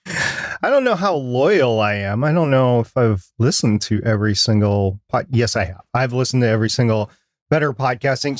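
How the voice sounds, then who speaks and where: A man's voice: medium pitch, no room sound, studio quality. A man co-hosting a podcast in casual talk, explaining things step by step in a chatty, storytelling way. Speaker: podcast co-host.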